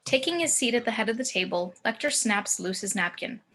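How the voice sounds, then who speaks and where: A woman reading screenplay action descriptions as narrator in a table read over a video call, in deliberate short phrases. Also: fairly high pitch, expressive, a bit bright. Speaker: stage-direction narrator.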